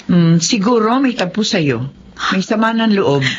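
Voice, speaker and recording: An actress playing a caring middle-aged family friend in a radio drama, motherly and gently chiding. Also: mid-range voice, lively intonation, a little slow, close to an everyday voice. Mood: sympathetic. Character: caring, concerned older female family friend, gently advising. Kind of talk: Tagalog radio drama acting. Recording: dull lo-fi audio, faint background noise.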